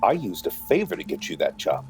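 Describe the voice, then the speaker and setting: Middle-aged male for audio drama father: deep, measured, protective husband type. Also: huge pitch swings, a little mellow, faint music behind. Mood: shocked, reproachful.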